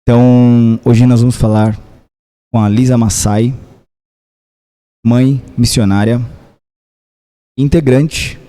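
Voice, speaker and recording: Dark-voiced male podcast host. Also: mid-range voice, steady tone, takes it slowly, long pauses. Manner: chatty, leans on "né?" and "bom". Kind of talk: hosting a parish podcast interview.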